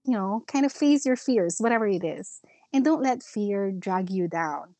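A woman's voice: mid-range voice, lively intonation, normal speed, warm tone, no echo. An older woman with a Filipino accent, chatting as a podcast guest, reflective and full of fillers and restarts. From Philippines. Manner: reflective, many fillers and restarts, laughs easily. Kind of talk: casual interview chat mixing English and Filipino.

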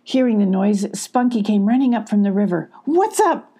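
An older woman reads a children's story with expression. Her voice is mellow and husky, with a creaky grain, and sits at a middle pitch. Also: brisk. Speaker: storybook reader.

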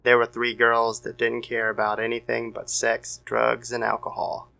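A man reading a scripted dark story as narrator, in the manner of an old-time radio show. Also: mid-range voice, completely flat delivery, normal speed, creaky, rough recording. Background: soft guitar music.

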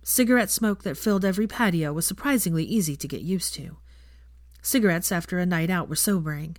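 A woman's voice: fairly low pitch, a little fast, no echo, clean studio sound. A woman reading fiction aloud as scripted audiobook narration.

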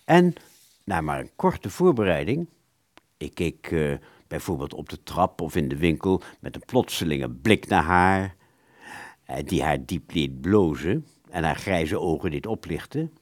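A man's voice: sits low, big pitch swings, measured pace, dry recording, clean studio sound. An actor playing a roguish, self-satisfied clarinettist, telling his own story theatrically in a radio play. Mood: self-pitying, smug.

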